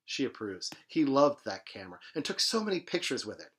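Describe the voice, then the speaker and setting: A man reading literary fiction aloud in an expressive, dramatic manner with emphatic phrasing. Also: mid-range voice, brisk, breathy edge. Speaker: presenter reading a novel aloud.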